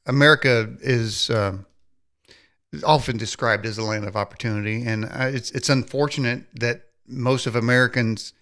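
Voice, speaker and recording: A male podcast host with a bright, forceful tone. He works his way through long, halting questions, restarting and filling the gaps with "um" and "uh". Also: mid-range voice. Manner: rambling, halting questions with fillers. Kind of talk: interview questions.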